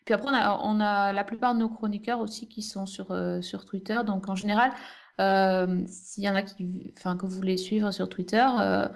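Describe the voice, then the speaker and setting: A woman's voice: mid-range voice, little pitch movement, moderate pace, gravelly. A woman podcaster speaking as a guest in a casual round-table chat. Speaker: podcast guest, podcaster presenting their show.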